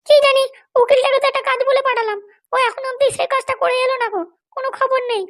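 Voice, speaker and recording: Squeaky, fast-scolding cartoon wife. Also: female voice, steady tone. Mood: fretful, complaining. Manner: scolding, threatening. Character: hot-tempered, foul-mouthed wife running for election. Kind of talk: amateur comedy cartoon dialogue.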